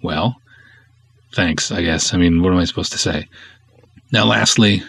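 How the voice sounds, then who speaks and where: A man's voice: low-pitched, measured pace, creaky, a bit bright, slightly rough. A man hosting a podcast in a casual, conversational style, talking and reading aloud with wry, snarky sarcasm. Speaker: podcast host and filmmaker.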